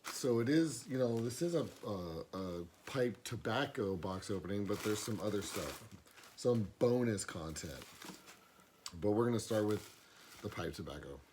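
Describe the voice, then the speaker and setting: Casting: hoarse man with a lowish voice, easygoing rambler, camera-mic sound. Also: expressive, moderate pace, a little mellow, a little hiss or hum. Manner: rambling, many fillers and restarts. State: hoarse, losing his voice. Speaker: pipe smoking vlogger. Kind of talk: casual box opening vlog. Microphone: camera mic, no external mic.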